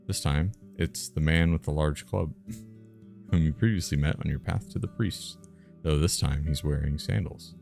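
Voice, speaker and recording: A man's voice: extremely low pitch, moderate pace, vocal fry, darkish tone, faint music behind. A man reading a fantasy game's narration aloud on a live stream, mixed with casual banter.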